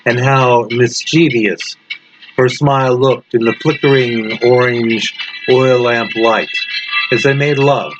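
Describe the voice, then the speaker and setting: A man reading a scripted horror story aloud in a deliberate, dramatic manner. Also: medium pitch, steady tone. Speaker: story reader. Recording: muffled lo-fi with loud background noise.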